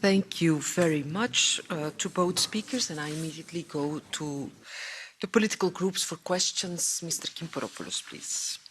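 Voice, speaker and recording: A woman's voice: low-pitched, moderate pace, fairly bright tone. A woman chairing a committee hearing, brief and procedural, heard through a distant mic. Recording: distant mic with faint noise.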